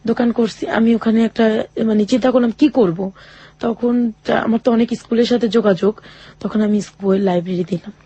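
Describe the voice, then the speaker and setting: A woman, an interview guest, telling her own hard life story in a halting, earnest way and often quoting what others said to her. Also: a little high, fairly even intonation, mellow, slightly rough, no room sound, dull audio.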